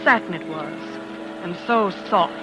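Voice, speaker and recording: An actress playing a shaken young wife who narrates a murder discovery in a hushed, dramatic 1940s radio style. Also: female voice, on the low side, unhurried, dull audio, background noise, lightly acted. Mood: haunted, tense. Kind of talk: 1940s radio acting, first-person suspense narration. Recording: old radio recording with music underneath.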